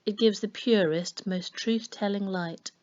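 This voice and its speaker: A woman voicing a gentle, solemn fortune-teller in a storybook, murmuring slowly and knowingly. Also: mid-range voice, highs cut off, natural voice. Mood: solemn, prophetic. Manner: gentle, murmuring, slow and portentous. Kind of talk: children's storybook reading.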